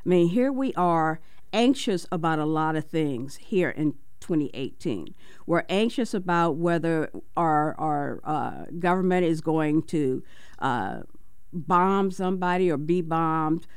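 Co-host on talk radio, smooth clear voice, dry close mic, earnest. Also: medium pitch, lively intonation, unhurried, no echo. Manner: earnest, impassioned, hesitant at times. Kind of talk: community radio talk show.

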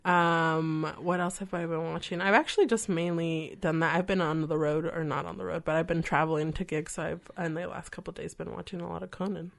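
Woman comedian guest, halting and filler-heavy, lowish voice, laughs a lot. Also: fairly even intonation, creaky. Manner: hesitant, many fillers, laughs often. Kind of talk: casual interview.

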